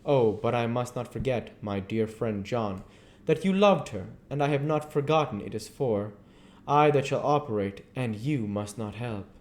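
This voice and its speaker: A man's voice: medium pitch, normal speed, darkish tone, close to an everyday voice. A man doing a grave, fatherly old professor. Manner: earnest, pleading, old-fashioned phrasing.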